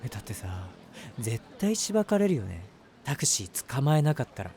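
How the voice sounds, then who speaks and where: Man acting an eager, puppyish lovestruck young guy, animated. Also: mid-range voice, fairly quick, slight background noise. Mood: sheepish, confiding. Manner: chatty, rambling, self-mocking. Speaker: voice actor. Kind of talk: situation voice drama, first-person monologue to the listener.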